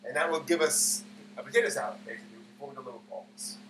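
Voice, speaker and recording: A man hosting a casual cooking live stream, explaining steps haltingly as he thinks aloud. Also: medium pitch. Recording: roomy, far mic, clear background hum.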